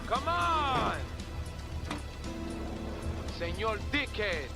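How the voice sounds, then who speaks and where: A sneering, menacing game thug speaks slowly in a high, gravelly voice. Loud music sits behind him. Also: male voice, sing-song, exaggerated voice. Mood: taunting, threatening. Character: menacing, sneering male street criminal making threats. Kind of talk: video game cutscene acting.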